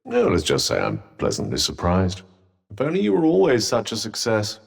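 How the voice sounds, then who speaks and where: A man's voice: fairly low pitch, wildly swooping pitch, moderate pace, vocal fry, husky, long reverb tail, full character voice. A man with a British accent voicing a haughty, sardonic magical cuff companion in a video game, with pompous, droll, formal delivery. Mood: pleasantly condescending.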